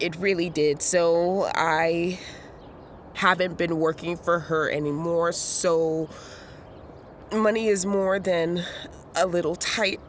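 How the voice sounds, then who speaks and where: A young American woman playing a restless, self-searching young woman in an audio drama, halting and conversational. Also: fairly low pitch, a little hiss or hum, close to an everyday voice. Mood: awkward, rambling. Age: young adult. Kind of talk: naturalistic audio drama acting.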